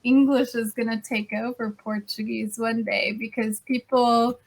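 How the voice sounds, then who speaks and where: Slow-spoken woman on a video call. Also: on the high side, steady tone, rough recording. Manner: chatty, explains pronunciation patiently, laughs often. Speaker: English tutor. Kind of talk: casual conversation class. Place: standing at home during a video call.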